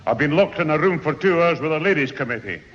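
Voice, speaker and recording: A man's voice: on the high side, animated, quick pace, fairly bright tone. A man playing a harassed, grumbling elderly family man in a 1960s British radio sitcom, exasperated and blustering. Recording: muffled 1960s radio, hall reverb, hiss.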